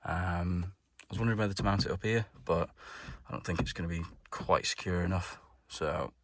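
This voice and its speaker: A man with a low-pitched voice presents a hands-on DIY job. He talks informally, thinking aloud as he goes, in the casual style of a home mechanic explaining each step. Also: steady tone, a little fast, no echo, clean studio sound. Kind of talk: casual hands-on how-to narration.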